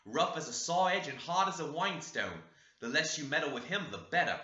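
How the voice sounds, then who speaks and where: A homely, talkative housekeeper as a male reader plays her in a classic storybook. The voice is very bright and swings in pitch, with chatty emphasis, in a roomy echo. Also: lively intonation, moderate pace. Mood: frank, gossipy. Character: talkative, homely woman housekeeper telling family history. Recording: roomy, echoey, slightly dull sound.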